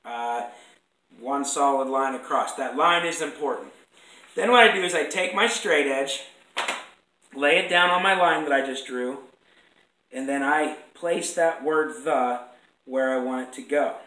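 A man in his thirties with a hoarse voice from a cold, casually explaining how he lays out a sign in his woodworking shop, rambling and correcting himself as he goes. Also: medium pitch, a little slow, pushed and bright. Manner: rambling, self-correcting, apologetic asides. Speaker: woodworking instructor. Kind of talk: casual workshop demonstration. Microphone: fixed camera across the workbench. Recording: echoey shop room.

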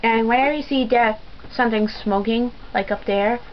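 A woman casually commenting while playing a video game, chatty and quick. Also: a little high, slight background noise. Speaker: gamer. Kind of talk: let's play commentary. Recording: dull lo-fi game capture with music.

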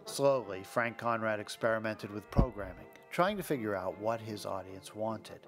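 A man's voice: fairly low pitch, animated, normal speed, over loud music. A man reading scripted documentary narration in a conversational storytelling manner with wry asides, as the host.

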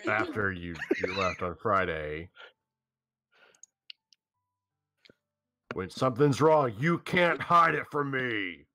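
A man's voice: on the low side, measured pace, pushed and bright, faint music behind. A man reading the part of an earnest, worried young man confronting a friend in a visual novel. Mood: concerned. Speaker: amateur reader of a visual novel's lead. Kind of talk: staged reading with joking asides.

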